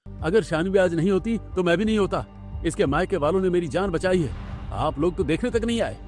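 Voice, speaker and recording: A man's voice: sits high, animated, fairly quick, darkish tone, voice pushed up. A man voicing an indignant young husband who firmly reproaches his parents in a cartoon story. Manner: firm, reproachful. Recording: background music under the voice.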